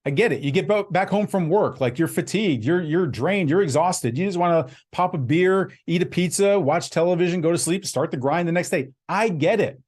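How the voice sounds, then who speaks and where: A man's voice: high-pitched, lively intonation, brisk, few pauses, darkish tone. A man in his fifties hosting a podcast, delivering a blunt, emphatic motivational monologue. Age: 50s. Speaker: podcast host.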